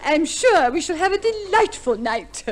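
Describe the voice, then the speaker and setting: Prim gushy lady in an old radio comedy, high swoopy voice, coy and chatty. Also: sing-song, normal speed. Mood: gushing, genteel. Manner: affected refinement, coy, garrulous. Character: genteel, fussy, chatty professional divorce co-respondent lady, prim. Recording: vintage radio play recording.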